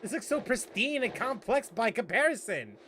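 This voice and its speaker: Sarcastic man doing game commentary, high voice, animated swings. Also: moderate pace, slight background noise. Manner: sarcastic, incredulous. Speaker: gaming channel host. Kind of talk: let's-play commentary.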